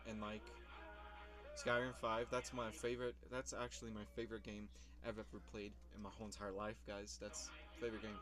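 Rambling young man, slightly low voice. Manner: rambling, swears casually, laughs at himself. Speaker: YouTuber reviewing his old videos. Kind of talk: casual rambling vlog commentary.

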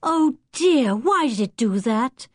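A woman voicing an anxious, squeamish young girl in a storybook, fretful and expressive. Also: medium pitch, normal speed, breathy edge, no echo, studio quality. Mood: disappointed.

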